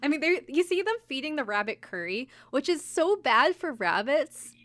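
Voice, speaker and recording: Girl podcast host recapping stuff super casually, animated storyteller, giggly, does mock quotes, dry close audio. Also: sits high, moderate pace, dry recording. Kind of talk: casual chat, recapping an anime episode.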